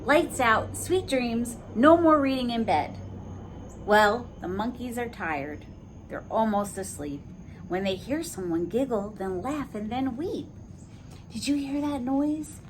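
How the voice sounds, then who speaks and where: An animated woman reads a bedtime picture book aloud to small children and keeps asking them questions. Her voice sits fairly high, and she takes the story slowly and gently. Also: slight background noise. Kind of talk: read-along for preschoolers.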